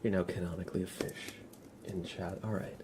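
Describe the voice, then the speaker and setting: A man hosting an ASMR livestream, chatting playfully and softly with viewers, often slipping into whispers and rambling as he goes. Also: low-pitched, little pitch movement, fairly quick, noisy background. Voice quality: soft, often breaking into whispers. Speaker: livestream host. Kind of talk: ASMR livestream chat, reading and answering viewer comments.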